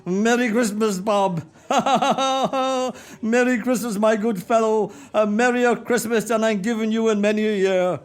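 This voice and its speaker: An actor with an Irish accent playing a reformed old miser, giddy with joy, exuberant and laughing in a radio play. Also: male voice, high-pitched, steady tone, measured pace. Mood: jolly. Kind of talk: amateur radio play acting.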